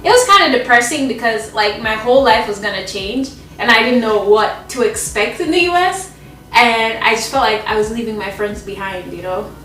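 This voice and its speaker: A woman's voice: a little high, expressive, moderate pace, fairly bright tone, reverberant, recorded from a distance, slight background noise, rough recording. A young woman from Jamaica chatting casually about her school years while cooking in her home kitchen, rambling and laughing often. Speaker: vlogger being interviewed.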